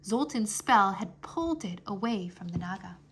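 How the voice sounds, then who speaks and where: A woman reading a children's fantasy chapter book aloud as an expressive storyteller. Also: medium pitch, moderate pace, a little hiss or hum. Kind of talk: expressive scripted narration.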